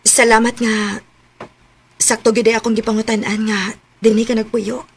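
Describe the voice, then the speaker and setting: A woman's voice: a little high, level tone, moderate pace, vocal fry, slight background noise. An actress playing a needy, bereaved woman seeking shelter in a radio drama, subdued and pleading, with a Filipino accent. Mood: humble, pleading.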